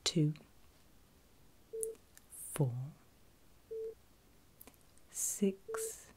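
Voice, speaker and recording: A woman whispering in a breathy, soothing and reassuring way, as a caregiver in an ASMR medical roleplay. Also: medium pitch, huge pitch swings, a little mellow. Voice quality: breathy, mostly unvoiced whisper. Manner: soothing, reassuring, slow and deliberate. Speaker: ASMR performer playing a surgical nurse.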